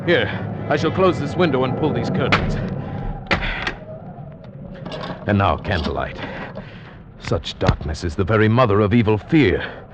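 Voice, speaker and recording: An actor playing an uneasy gentleman guest who narrates a gothic horror tale in formal, theatrical, old-time radio style. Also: on the low side, animated, natural voice. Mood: urgent, soothing. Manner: formal, theatrical, measured diction. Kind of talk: vintage radio drama acting, formal literary narration. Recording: dull vintage radio recording with music behind.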